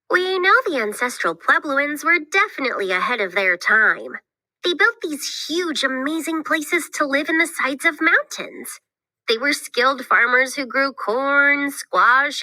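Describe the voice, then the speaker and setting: Woman voicing a bright, animated, eager young girl explorer. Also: sits high, no echo, exaggerated voice. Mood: enthusiastic, explaining. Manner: animated, explanatory, enthusiastic. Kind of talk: scripted read-aloud dialogue for children.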